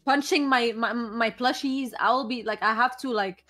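A young woman from Lebanon with a Lebanese French accent, chatting casually and excitedly on a live stream, often restarting and repeating her words. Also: on the high side, moderate pace, soft background music. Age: young adult. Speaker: co-host reacting to an anime episode. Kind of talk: casual chat. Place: small bedroom streaming setup, indoors.

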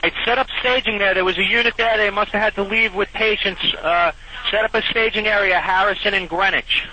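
Sharp, high-voiced male radio dispatcher. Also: fairly even intonation, a little fast. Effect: two-way radio. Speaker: EMS dispatcher. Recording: faintly noisy emergency radio dispatch tape.

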